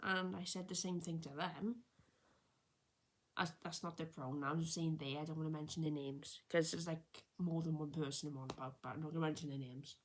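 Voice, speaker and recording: A woman's voice: deep voice, creaky, breathy edge. A woman in her early twenties telling a personal story in a rambling, unscripted vlog, with many restarts and self-corrections. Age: 20s. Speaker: vlogger. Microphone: camera set a little far away.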